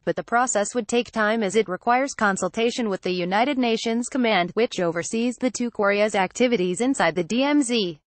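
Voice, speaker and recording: A woman reading a scripted news article as a narrator, in a formal, measured manner. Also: medium pitch, no room sound.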